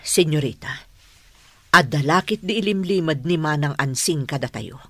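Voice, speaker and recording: A woman playing a nosy, gossiping household servant in a radio drama, with a rough husky voice. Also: low-pitched, animated, normal speed, sharp tone, no echo, exaggerated voice. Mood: inquisitive.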